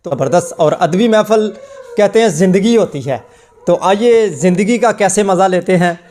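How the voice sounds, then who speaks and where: A man's voice: high-pitched, darkish tone. Male poetry host, formal and fluent. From Pakistan. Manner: drawn-out approving cries. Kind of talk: formal Urdu hosting with exclamations of praise.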